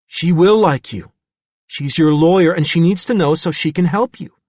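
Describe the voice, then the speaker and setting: A man's voice: on the high side, moderate pace, a little mellow, no room sound, pitched above the performer's own voice, close to an everyday voice. A man reading an audiobook, voicing a woman psychologist who reasons with an earnest, persuasive manner.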